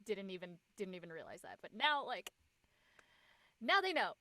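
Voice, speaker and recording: A woman's voice: medium pitch, very animated, moderate pace, pushed and bright, dry recording. A young woman from the United States chatting casually as a panelist in a group discussion. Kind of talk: casual chat.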